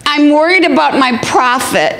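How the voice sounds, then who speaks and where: A woman's voice: sits high, expressive, moderate pace, fairly bright tone, slight background noise. A woman acting a scatterbrained, optimistic wife who mangles her words in an old-time radio comedy. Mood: flustered. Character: scatterbrained, optimistic wife mangling proverbs, running card business. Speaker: actor in a radio play.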